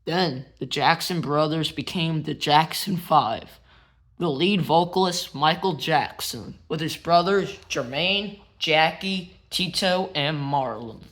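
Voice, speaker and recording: A man reads a biography aloud in a slightly high voice. He takes his time, setting out each phrase in a plain, measured storytelling way. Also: a bit bright. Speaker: narrator and show host. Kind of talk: read-aloud biography narration.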